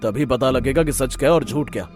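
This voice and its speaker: A man's voice: medium pitch, fairly quick, soft background music, close to an everyday voice. A man voicing a stern, suspicious village headman in a cartoon story, demanding and authoritative. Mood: questioning.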